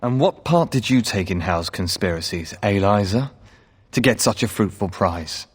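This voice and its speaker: An actor playing an indignant, honorable knight in a video game, formal and pointed, in a reverberant hall. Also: male voice, on the low side, lively intonation, normal speed, slightly rough.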